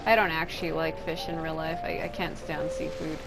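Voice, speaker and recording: A woman's voice: low-pitched, little pitch movement, a little fast. A woman giving casual, rambling gameplay commentary in an informal way as a video host. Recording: game music behind the voice.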